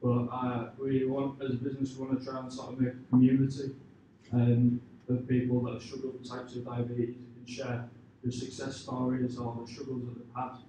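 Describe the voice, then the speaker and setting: A man giving informal, hesitant answers to questions after pitching his business, leaning on filler phrases. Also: monotone, normal speed. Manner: hesitant, informal, leans on "you know" and "sort of". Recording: echoey room, distant mic, clear background noise.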